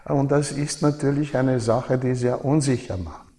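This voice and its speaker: A man's voice: medium pitch, steady tone, dark tone. Older Austrian man in a reverberant hall. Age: older, over 70. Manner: halting, repeats and reformulates phrases. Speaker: interviewee, former journalist. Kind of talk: reflective interview recollection.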